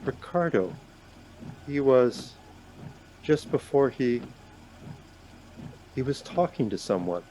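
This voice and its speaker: A man's voice: big pitch swings, takes it slowly, pauses often, dark tone, low-quality recording. An actor playing a numb, guilt-stricken man traveller in a radio play, speaking in a hesitant, subdued way. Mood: haunted, hesitant. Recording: background noise with car rumble.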